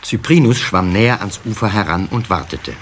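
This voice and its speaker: A man's voice: lowish voice, lively intonation, normal speed, a little hiss or hum. A man narrating a scripted children's story in a radio play.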